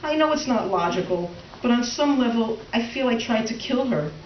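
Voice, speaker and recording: Woman acting a brittle wife, lively high voice. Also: normal speed, lightly acted. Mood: guilty, candid. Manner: brittle politeness, dry sarcasm. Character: middle-aged wife, politely sarcastic, tightly wound, resentful. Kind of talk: comic readers theater acting and narration. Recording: roomy, distant, dull, faintly noisy stage recording.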